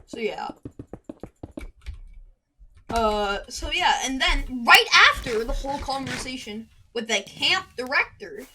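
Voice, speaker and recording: A woman's voice: high voice, lively intonation, takes it slowly, long pauses, sharp tone, a little hiss or hum, poor audio. A woman chatting casually while gaming, rambling through a story and breaking off to react to the game. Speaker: gaming commentator. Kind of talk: casual storytelling over live gameplay. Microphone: desk microphone at a computer. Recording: keyboard and mouse clicks throughout.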